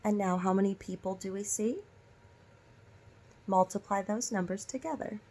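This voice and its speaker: A woman's voice: medium pitch, moderate pace, darkish tone. A woman teacher reading a children's story aloud and prompting listeners with instructive questions.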